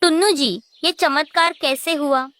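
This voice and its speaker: A woman's voice: high voice, measured pace, a bit bright, no room sound. A woman voicing an earnest, devoted and anxious mother bird in a cartoon. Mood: amazed. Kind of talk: Hindi cartoon voice acting.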